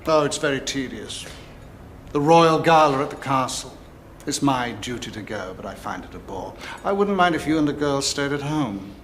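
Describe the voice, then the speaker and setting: A man's voice: medium pitch, lively intonation, moderate pace, a bit bright, a little hiss or hum, natural voice. An actor playing a pompous, world-weary middle-aged father and husband in a film drama. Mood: affectedly bored. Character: pompous father, fawning to his wife.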